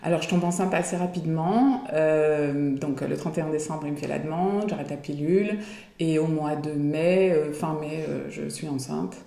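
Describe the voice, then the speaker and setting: A woman's voice: low voice, normal speed, creaky, mellow, reverberant. A woman in her forties chatting in an interview, hesitating often as she talks. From Belgium. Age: 40s. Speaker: guest. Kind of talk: casual interview chat.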